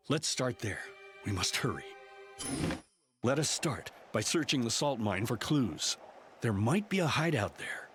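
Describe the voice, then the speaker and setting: A man's voice: medium pitch, a bit bright. An actor playing a grieving, determined father who is a demon evoker, in a game cutscene with faint music behind. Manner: grave and determined. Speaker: game character.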